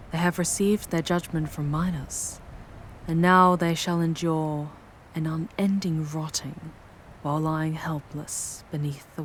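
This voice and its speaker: A woman voicing a charming, darkly seductive demoness in a scripted ASMR roleplay, speaking in measured, ornate, ominous phrases that drift toward breathy near-whispers. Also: sits low, a little hiss or hum. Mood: stern, awed. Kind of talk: scripted ASMR audio roleplay, dramatic monologue.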